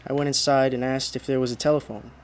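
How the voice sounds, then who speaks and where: An actor playing a frightened, exhausted driver in a radio drama, halting and muttering to himself. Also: male voice, mid-range voice, flat delivery, a little hiss or hum, close to an everyday voice. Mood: fearful, desperate. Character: frightened, exhausted male driver haunted by a phantom. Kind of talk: radio drama monologue and dialogue.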